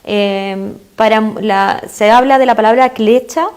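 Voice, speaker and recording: A woman's voice: a little high, steady tone, a little slow, smooth. A woman with a Chilean accent, a guest expert in an interview, explaining earnestly with frequent fillers. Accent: Chilean Spanish.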